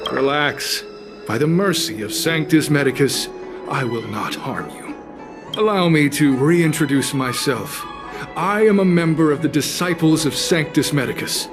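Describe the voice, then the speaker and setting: A man's voice: on the high side, expressive, a little slow, over music. A man playing a zealous, silky-polite cult recruiter in a video game, with a theatrical, formal and devout manner. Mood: devout, welcoming. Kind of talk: scripted video game dialogue.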